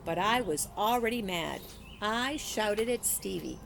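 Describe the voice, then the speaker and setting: A woman reading a children's story aloud in an expressive storytelling style, like a teacher with a class book. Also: mid-range voice, measured pace, creaky, background noise.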